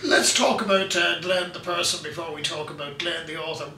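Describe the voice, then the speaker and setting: A man's voice: a little high, fairly even intonation, fairly quick, pushed and bright, roomy sound, recorded from a distance, a little hiss or hum. A middle-aged Irish man hosting a radio interview, hesitant and full of filler words, in a hotel room. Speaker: radio show host.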